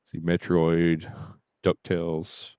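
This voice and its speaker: A man's voice: low-pitched, completely flat delivery, takes it slowly, mellow, clear, resonant tone, no echo. A man streaming games and chatting casually in a hesitant, rambling way. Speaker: game streamer. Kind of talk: casual livestream chat.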